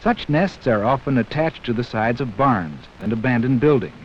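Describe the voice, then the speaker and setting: Hissy vintage film narrator, lively man. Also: mid-range voice. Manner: measured, explanatory, addressing young viewers directly. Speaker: narrator of an educational nature film. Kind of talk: 1960s educational film narration, scripted. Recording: dull, hissy 1960s 16mm film soundtrack.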